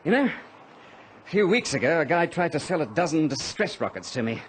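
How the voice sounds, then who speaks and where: An actor playing a calm, reassuring pilot stranded at sea, in a measured old radio adventure style. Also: male voice, on the high side. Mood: thoughtful. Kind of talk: 1940s-50s radio adventure acting. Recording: noisy vintage radio drama recording.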